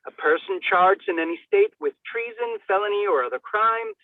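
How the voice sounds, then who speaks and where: A man's voice: high voice, moderate pace, no echo. A man giving a hammy, theatrical dramatic reading, stumbling over words and dropping in comic asides. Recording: thin phone-like band-limited sound.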